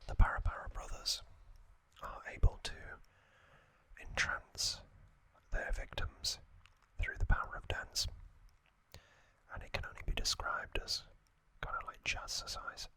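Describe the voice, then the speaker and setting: An ASMR host speaking in a breathy, unvoiced whisper, giving a hesitant, off-the-cuff recap. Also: unhurried, bright, forceful tone, no echo, poor audio.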